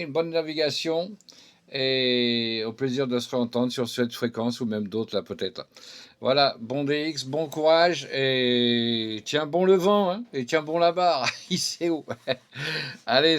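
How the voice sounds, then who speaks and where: A middle-aged or older man chatting casually as an amateur radio operator, rambling along and tagging little filler words onto the ends of phrases. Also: medium pitch. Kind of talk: casual radio contact chat.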